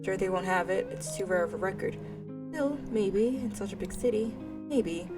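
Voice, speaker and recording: A woman's voice: medium pitch, moderate pace, loud music behind the voice. A woman narrating a visual novel in a let's play, reading expressively and dropping in casual side remarks. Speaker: gaming video creator reading a visual novel aloud.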